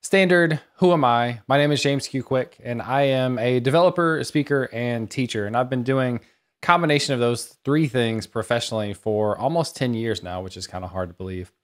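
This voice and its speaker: A man's voice: medium pitch, normal speed. A man in his thirties presenting a technical talk to developers in an enthusiastic, conversational way, like a teacher explaining concepts. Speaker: conference speaker and developer educator.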